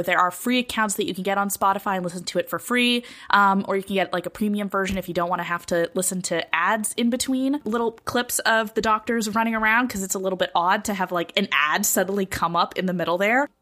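A woman's voice: medium pitch, fairly quick, few pauses, a bit bright. A woman talking casually and enthusiastically as a podcast panelist, in a chatty way.

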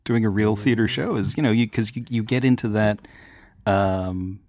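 A man's voice: lowish voice, normal speed, darkish tone, dry recording. A man talking casually as a panelist in a group discussion. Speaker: podcast panelist.

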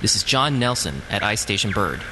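A man's voice: fairly low pitch, big pitch swings, a little fast, noisy background. An actor playing a male Antarctic research scientist in an audio drama, dryly joking over a dead radio. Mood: calm, weary. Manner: dry joking, then frantic mayday cries. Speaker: scientist at polar station.

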